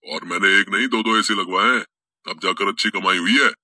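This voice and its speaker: A man voicing a boastful, mocking rich dhaba owner in an animated story. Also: deep voice, a little fast, dry recording, clean studio sound, exaggerated voice. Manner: taunting, scornful.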